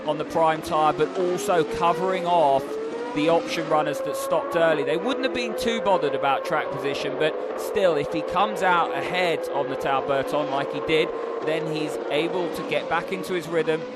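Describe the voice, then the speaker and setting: A man's voice: a little high, moderate pace, loud music behind the voice. A man as co-commentator, explaining race strategy, often restarting his sentences. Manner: explanatory, with frequent restarts and fillers. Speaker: co-commentator, expert analyst. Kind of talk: motorsport race analysis. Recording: voice over loud race-car noise.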